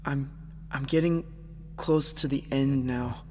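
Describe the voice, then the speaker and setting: A man's voice: medium pitch, steady tone, slow pace, close to an everyday voice. A man playing a curious, frightened boy survivor in an audio drama, earnest and anxious. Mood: uneasy. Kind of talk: dramatic first-person audio drama narration and dialogue.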